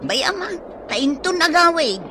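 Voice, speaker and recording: A woman's voice: very high-pitched, very animated, a little slow, full character voice. A woman with an Ilocano accent acting an exasperated, nagging wife and mother in a radio drama. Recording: noisy ambience behind the voice.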